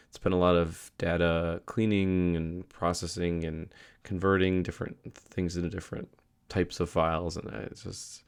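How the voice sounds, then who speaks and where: Very deep-voiced man, hoarse from a cold. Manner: rambling with many fillers and restarts. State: losing his voice, a little sick. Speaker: vlogger. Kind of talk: casual daily video diary.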